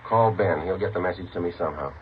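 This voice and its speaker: An American man playing a terse police detective sergeant in a 1950s radio drama, clipped, matter-of-fact and deadpan. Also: low-pitched, quick pace, close to an everyday voice. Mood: curt, reassuring. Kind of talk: 1950s radio drama, first-person narration and dialogue. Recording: tinny, noisy old-time radio recording.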